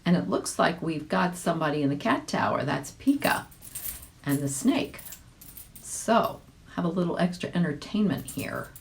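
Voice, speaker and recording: A woman with a low voice narrates a children's storybook. She reads in a chatty way, slipping in casual asides and small questions to the listener. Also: animated, moderate pace, creaky, a little hiss or hum. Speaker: volunteer storybook reader.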